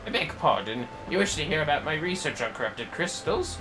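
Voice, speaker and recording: A man voicing an excitable, pompous scholar from a fantasy game, with florid, theatrical, old-fashioned phrasing. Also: fairly high pitch, a little fast, music in the background. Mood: delighted. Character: excitable, pompous male scholar proud of his research. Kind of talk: acted reading of fantasy game dialogue.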